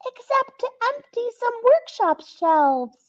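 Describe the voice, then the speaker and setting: Worried little storybook elves and gnomes cry out in very high, anxious voices, as a woman puts them on. The recording sounds dull and lo-fi. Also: sing-song, takes it slowly, full character voice.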